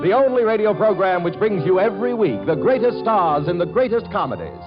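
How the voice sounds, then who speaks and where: A man's voice: high-pitched, big pitch swings, a little fast. A man announcing a 1940s radio program in a formal, scripted style. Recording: muffled 1940s broadcast under loud music.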